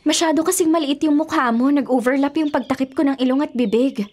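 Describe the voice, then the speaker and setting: An actress plays a young heiress in a radio drama, a fresh graduate with a secret to keep. Her voice is fairly high, with an evasive, pleading way of talking that mixes in English phrases. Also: quick pace, a little mellow, close to an everyday voice. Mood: playful irritation. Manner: pleading, evasive, Taglish-speaking. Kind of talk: Filipino radio drama acting.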